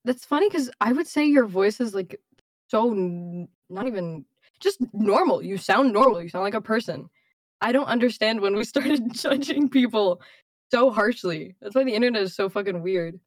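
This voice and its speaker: A woman's voice: medium pitch, lively intonation, normal speed, no room sound. Blunt, laughing young woman. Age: young adult. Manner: blunt, swears freely, laughs a lot. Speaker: guest streamer. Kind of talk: casual chat.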